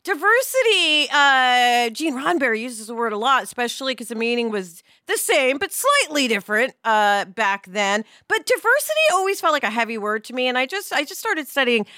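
Female co-host, American. Very expressive pitch, chatty and digressive, close dry sound. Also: a little fast, hardly any pauses, no room sound. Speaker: podcast co-host. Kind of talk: casual chat with some scripted intro.

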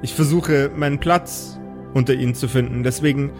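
A man's voice: mid-range voice, fairly even intonation, normal speed, over music, close to an everyday voice. A man voicing a kind, slightly melancholic clone woman who speaks politely and a little hesitantly in an improvised audio play. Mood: gentle, resigned.

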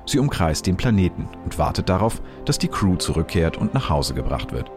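A man with a very low voice narrates a science podcast script. His delivery is measured and explanatory, with faint music underneath. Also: big pitch swings.

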